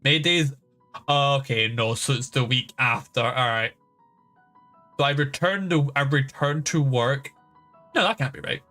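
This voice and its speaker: A man's voice: mid-range voice, unhurried, long pauses, bright, forceful tone. A man chatting casually as a game streamer, rambling and swearing freely as he goes. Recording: music playing behind the voice. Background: background game music.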